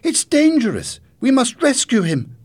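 A male narrator puts on a teenage girl from a storybook, curious and eager. The voice is pitched well up and swings about excitably, full of questions. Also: measured pace, slightly rough, no echo, full character voice. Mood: alarmed. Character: curious, eager sixteen-year-old granddaughter.

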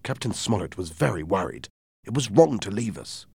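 A man voicing a calm, principled doctor in a storybook reading. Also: on the low side, normal speed, gravelly, breathy edge, dry recording, clean studio sound, exaggerated voice. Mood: low, serious.

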